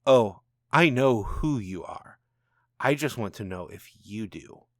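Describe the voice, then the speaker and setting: A man voicing a calm, knowing, commanding supernatural father in a storybook reading, speaking in a measured, taunting way. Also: fairly low pitch, no room sound, studio quality. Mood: stern, persuasive. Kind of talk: storybook narration dialogue.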